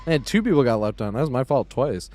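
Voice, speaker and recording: Male game streamer, mid-pitched, offhand and sweary live commentary over music. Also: big pitch swings, fast, a little mellow. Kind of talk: casual live gameplay commentary.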